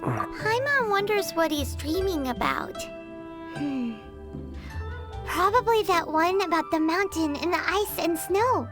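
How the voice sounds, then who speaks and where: A tiny floating girl sidekick in a video game. The voice is extremely high, squeaky and childlike, candid and quick to fret. Music plays clearly underneath. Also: expressive, full character voice. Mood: puzzled. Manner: speaks of self in third person. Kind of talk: animated video game dialogue. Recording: music behind the voice.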